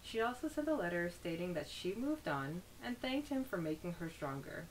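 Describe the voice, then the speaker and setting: A woman's voice: lowish voice, sing-song, normal speed, poor audio. A woman co-hosting a podcast, summarizing a story chapter and then discussing it in casual chat. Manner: summarizes plot steadily, then chats animatedly. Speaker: podcast co-host. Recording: faint hiss.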